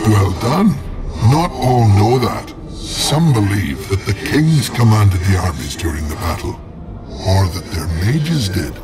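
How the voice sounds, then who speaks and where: An actor playing the stern, proud ghost of an army standard bearer in a video game, speaking in a formal, archaic, declamatory way. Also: male voice, lowish voice, very animated, takes it slowly. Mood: solemn, wary. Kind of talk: dramatic video game acting. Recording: game music under the voice.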